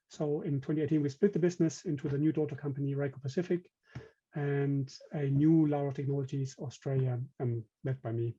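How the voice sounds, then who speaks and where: A man's voice: fairly high pitch, dark tone, dull audio. A man with a German accent giving a technical webinar presentation, speaking hesitantly with many fillers and restarts. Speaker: company director presenting.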